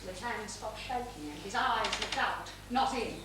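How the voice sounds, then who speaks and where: A woman's voice: mid-range voice, moderate pace, lightly acted. A woman playing a possessive, imperious older mother in an amateur stage play, insistent and declamatory. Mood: brooding, intense. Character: possessive, imperious older mother, bitterly devoted to her son. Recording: echoey theatre stage, distant mic, noise.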